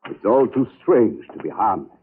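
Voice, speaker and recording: Low, hesitant radio doctor. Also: male voice, very animated, narrow, tinny audio. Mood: bewildered. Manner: slow, hesitant, troubled. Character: weary, bewildered male doctor. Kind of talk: 1940s radio drama acting. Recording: noisy band-limited old radio recording.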